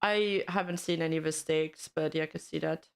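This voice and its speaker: A woman's voice: low voice, steady tone, fairly quick, vocal fry, smooth. A young woman from Canada, a VTuber streamer, casually chatting and reacting to news and her live chat, laughing often and teasing back.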